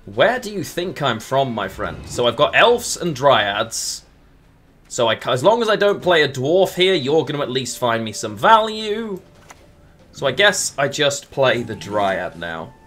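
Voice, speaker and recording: A man's voice: mid-range voice, sing-song, measured pace, fairly bright tone, soft background music. A man from England streaming a card game, thinking aloud between moves and answering chat casually with lots of murmured fillers. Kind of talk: casual live-stream chat while playing.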